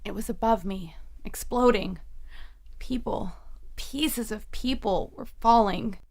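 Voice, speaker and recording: Young woman, haunted, hushed, slow-paced, gravelly fry, radio play. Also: medium pitch. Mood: shaken. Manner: hushed, hesitant. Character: troubled, guarded young woman haunted by dreams.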